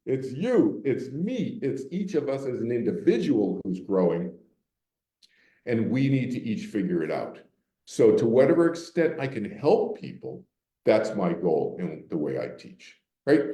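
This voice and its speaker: A man's voice: medium pitch, warm tone, reverberant. An older man from Boston, a meditation teacher giving a candid, conversational public talk to a library audience. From Boston, Massachusetts. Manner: candid, conversational, repeats words when restarting. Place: library meeting room.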